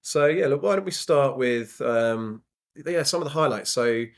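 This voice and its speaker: A man opens a podcast and introduces his guests in a friendly, informal way. His speech is halting, full of ums and restarts, at an ordinary middle pitch. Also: animated. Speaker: podcast host.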